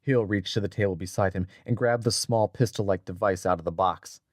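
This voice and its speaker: Male narrator, lowish register, dry sound, deliberate dramatic audiobook storytelling. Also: no room sound.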